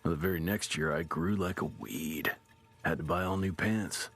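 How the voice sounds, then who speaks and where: A man voicing a gruff, dry-humoured vampire hunter in a video game, with a gravelly, husky voice. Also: deep voice, moderate pace, a bit bright, full character voice. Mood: wry, musing. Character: gruff, dry-humoured male vampire-hunting field agent.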